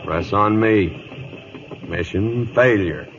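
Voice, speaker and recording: A man's voice: lowish voice, takes it slowly, a bit bright. An actor playing a weary, stern but fatherly aging cavalry captain in an old radio drama, terse and commanding. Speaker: lead actor and narrator. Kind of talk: 1940s-50s radio drama acting. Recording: dull old radio recording, music behind.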